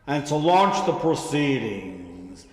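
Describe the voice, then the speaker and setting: A man's voice: mid-range voice, sing-song, slow pace. A man playing a pompous tournament announcer at a castle, grandiose and formal, in a comedy audio drama. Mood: grand, formal. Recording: roomy, long reverb, music behind.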